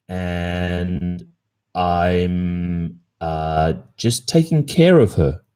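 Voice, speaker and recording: A man's voice: fairly low pitch, darkish tone, full character voice. A man with an Australian accent acting a cheating, casually evasive young boyfriend in an ASMR roleplay, speaking conversationally with hesitations. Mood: panicked, pleading. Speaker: roleplay performer.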